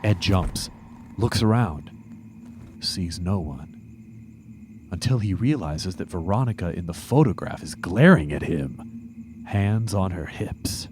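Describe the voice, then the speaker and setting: A man's voice: deep voice, lively intonation, slow, long pauses, a little mellow, a little hiss or hum. A man reading scripted comedic narration as the narrator of an audio drama. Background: music.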